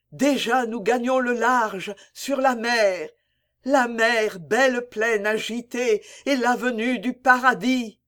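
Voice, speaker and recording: A man reading the part of a dying young girl in a slow, lyrical, solemn way. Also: sits high, fairly bright tone. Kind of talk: dramatic poem reading.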